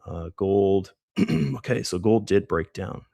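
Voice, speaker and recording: A middle-aged man hosting a live trading stream, hoarse and losing his voice, who rambles casually through market commentary with many fillers. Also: deep voice, steady tone, slow, warm tone, no room sound, clean studio sound. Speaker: trading livestream host.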